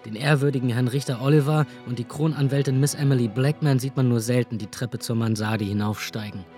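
Man acting young aimless writer guy, dry confessional radio play monologue, mid pitch, faint music. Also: steady tone, brisk, a little mellow, lightly acted. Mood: wry, confessional. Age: young adult. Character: drifting young would-be crime writer, dryly confessional.

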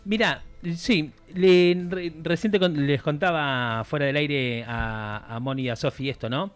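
A man's voice: a little high, a little slow, clear, resonant tone, soft background music. A man with a Rioplatense Spanish accent, a guest on a radio show, telling a travel story in casual chat with many fillers and restarts. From Argentina.

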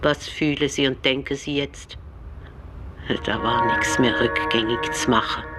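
An actress playing a local storyteller in a radio drama, with a southwest German accent, recounting a murderer's legend. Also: female voice, extremely low pitch, steady tone, a little slow, a bit bright, faint music behind. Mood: grave.

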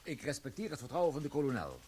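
An actor playing a flustered, evasive army captain in a radio play, hesitant and stumbling. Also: male voice, medium pitch, huge pitch swings, rapid-fire, a little mellow, slightly rough.

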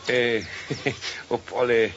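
A man's voice: mid-range voice, level tone, very slow. An actor playing a good-natured, flustered older craftsman with a Bavarian accent, hesitant and muttering, in a children's radio play. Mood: awkward, evasive. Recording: dull 1970s radio recording, faint noise.

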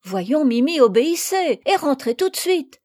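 A woman reading an audiobook, voicing a tender-hearted little girl with a high, eager childlike voice. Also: moderate pace, warm tone. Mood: coaxing. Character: sweet, tender-hearted little girl who spoils her bird.

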